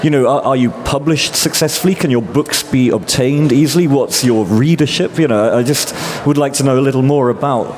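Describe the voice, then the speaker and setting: A man's voice: mid-range voice, fairly quick, few pauses. Man moderating a panel, street noise. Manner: thoughtful, long framing questions. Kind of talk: panel discussion. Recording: loud traffic noise behind.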